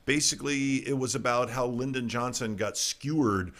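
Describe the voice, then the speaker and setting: A man's voice: medium pitch, normal speed, fairly bright tone. A middle-aged man hosting a casual talk show, ranting irreverently about the news with frequent stumbles and restarts. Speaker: podcast host. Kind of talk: casual political comedy talk.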